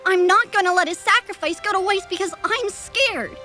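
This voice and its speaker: A woman's voice: very high voice, normal speed, pushed and bright, soft background music. A woman voicing an earnest, brave little boy in a cartoon parody. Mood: earnest, determined. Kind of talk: fan-dub parody cartoon acting.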